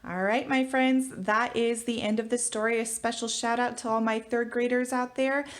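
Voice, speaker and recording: A woman teacher giving a storybook read-aloud for children, with expressive delivery. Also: a little high, moderate pace. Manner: expressive, animated reading. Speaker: elementary school teacher.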